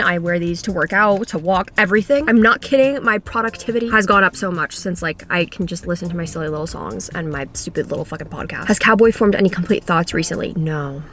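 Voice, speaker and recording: A woman's voice: on the low side, fast, few pauses, fairly bright tone. A woman in her twenties, a native Polish speaker, chatting casually through a Q&A vlog and swearing offhandedly. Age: 20s. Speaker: YouTuber.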